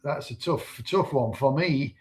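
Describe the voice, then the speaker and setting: A man's voice: mid-range voice, measured pace, a little mellow. A middle-aged man with an English accent, co-hosting a livestream in casual chat. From Manchester, England. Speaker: co-host, online business owner.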